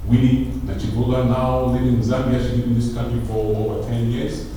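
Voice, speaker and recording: A man's voice: mid-range voice, little pitch movement, brisk, mellow. Man giving an opening address, preacher-like emphasis, heard from far off in a very echoey hall with faint room noise. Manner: preacher-like, rises to emphatic peaks. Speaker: conference founder giving opening speech. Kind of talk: public speech. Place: large hall. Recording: echoey hall, distant mic.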